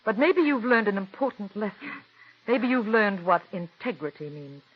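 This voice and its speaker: An actress in her thirties playing a calm, married playwright's wife who narrates, speaking in a poised, measured way in a 1940s radio drama. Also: mid-range voice, close to an everyday voice. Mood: stern. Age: 30s. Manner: poised and measured, gently firm. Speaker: narrator and lead character. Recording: dull old radio broadcast with faint music.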